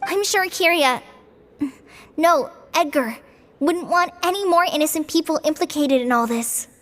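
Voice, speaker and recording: An actress voicing an earnest, excitable young girl companion in a fantasy video game, with emphatic delivery. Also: high-pitched, a little slow, big-room sound. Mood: concerned, urging. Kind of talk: scripted video game voice acting.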